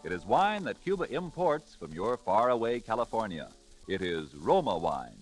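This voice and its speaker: A man's voice: lowish voice, sing-song, moderate pace, breathy edge. A man delivering polished, declamatory scripted advertising as a 1940s radio commercial announcer. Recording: old radio broadcast with music.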